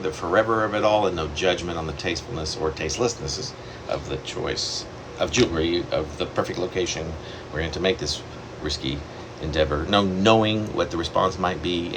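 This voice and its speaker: Deep-voiced man reading his own poem. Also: noisy background. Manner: wandering, self-correcting delivery with sudden pitch leaps. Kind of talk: poetry reading.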